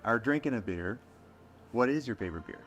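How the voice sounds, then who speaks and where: A man's voice: mid-range voice, expressive, fairly quick, fairly bright tone, highs cut off. A man from a local chamber of commerce interviewing a business owner in a joking, rambling way, often stumbling over his words. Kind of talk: casual interview.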